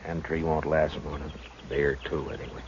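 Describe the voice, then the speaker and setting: A man's voice: very deep voice, little pitch movement, moderate pace, noisy background. A man playing a stern, plainspoken American marshal, middle-aged and protective, in 1950s radio western style. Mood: grim. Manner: terse, plainspoken, authoritative. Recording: dull old radio recording with music.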